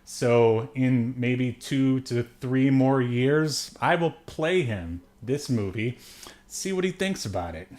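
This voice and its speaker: A man's voice: mid-range voice, slow pace, darkish tone. Joking, rambling male podcast co-host. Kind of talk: casual movie review chat.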